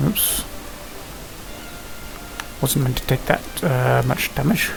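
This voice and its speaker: A man's voice: mid-range voice, takes it slowly, creaky, poor audio. A man giving casual, hesitant gameplay commentary, muttering to himself as he plays. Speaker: gamer narrating own play. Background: game music and sound effects.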